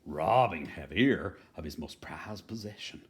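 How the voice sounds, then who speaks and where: A man's voice: low-pitched, lively intonation, measured pace. A man reading a children's picture book aloud in a folksy, playfully dramatic manner, adding chatty asides. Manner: folksy, playful dramatic delivery, dropping to hushed tones.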